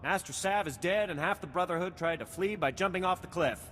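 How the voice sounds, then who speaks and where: An actor playing a practical, decisive army lieutenant in a video game, in a businesslike briefing tone. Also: male voice, sits high, fairly quick, a bit bright, long reverb tail, slight background noise. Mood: satisfied, measured.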